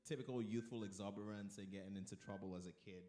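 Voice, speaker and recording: Upbeat radio host, deep-ish voice, playful banter, roomy echo. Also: male voice, steady tone, quick pace, dark tone. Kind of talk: casual radio interview. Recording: echoey room.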